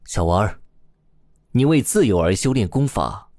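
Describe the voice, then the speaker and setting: A man playing a stern, probing roc demon king and mentor in a fantasy audio drama, husky and imperious. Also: lowish voice, very animated, a little mellow, no room sound, clean studio sound. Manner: measured, challenging, imperious.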